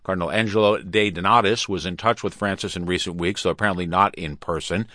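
American male radio news anchor, very low register, scripted bulletin delivery, tight dry sound. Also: steady tone, fast talker, bright, forceful tone, no room sound. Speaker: radio newscaster.

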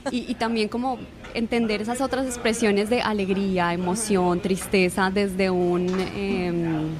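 A woman's voice: mid-range voice, fairly even intonation, a little slow. Earnest woman guest explaining at length. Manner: earnest, explanatory, speaks in long flowing answers. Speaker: guest, language exchange organizer. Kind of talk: conversational interview answers. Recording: crowd chatter in the room. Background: crowd chatter.

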